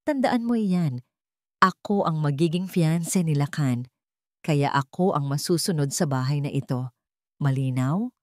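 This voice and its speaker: A woman's voice: low-pitched, expressive, a little slow, no echo, studio quality. Woman acting a cold, controlling fiancée. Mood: stern, warning. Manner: commanding, with veiled threats. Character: cold, controlling, menacing fiancée with falsely sweet manners. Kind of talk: audio drama character dialogue.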